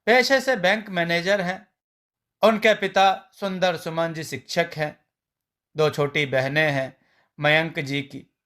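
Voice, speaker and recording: A man narrating a scripted family story in a measured storybook manner. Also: a little high, pushed and bright.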